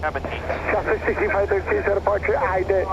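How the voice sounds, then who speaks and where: A man's voice: sits high, moderate pace. A man working as an air traffic controller, issuing clipped instructions over radio. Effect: aircraft radio. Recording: muffled noisy aviation radio.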